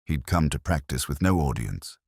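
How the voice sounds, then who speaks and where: Man as nervous stubborn clerk, very low voice, lively pitch, precise, defensive, mystery story. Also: moderate pace, dry recording, studio quality. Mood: uneasy. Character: nervous, stubborn male clerk confessing with sudden heat.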